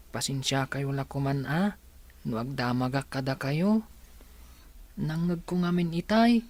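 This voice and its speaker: Actor as a humble, solicitous helper. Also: male voice, on the high side, darkish tone, natural voice. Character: humble, concerned helper addressing his madam respectfully. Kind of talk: Ilocano radio drama acting.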